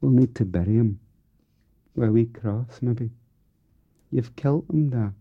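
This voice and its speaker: A man voicing a tearful young daughter in a soft, raised, lilting voice. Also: medium pitch, unhurried, husky, lo-fi sound, voice pushed up.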